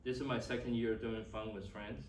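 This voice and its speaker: A middle-aged man with a Taiwanese accent and Chinese as his first language, giving an informal, halting talk as a class instructor. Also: on the low side, steady tone. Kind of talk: informal teaching and personal introduction. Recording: echoey room, distant mic, faint noise.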